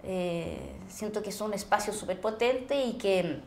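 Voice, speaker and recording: A Chilean woman talking as a podcast guest, reflective and casual, with searching, halting phrasing. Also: medium pitch, a little mellow. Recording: roomy echo, faint background noise.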